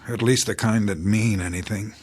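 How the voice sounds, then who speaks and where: An actor playing a dutiful, weary army captain in an audio drama, speaking in a sombre, halting way. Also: male voice, lowish voice, fairly even intonation, husky.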